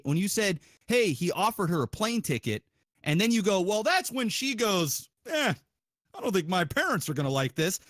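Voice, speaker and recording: A man with a distinctly high voice holds forth on a podcast in a dry-sounding recording. His delivery is casual and animated, wandering through arguments with plenty of swearing and strong opinion. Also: no echo. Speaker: podcast host.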